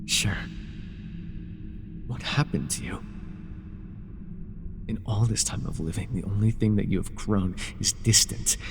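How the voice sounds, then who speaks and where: An actor playing a grieving, devoted fallen god in mortal form, earnest and reproachful, in a dramatic fantasy audio roleplay. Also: male voice, fairly low pitch, unhurried, pauses often. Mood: wounded. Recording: reverberant hall effect, faint noise.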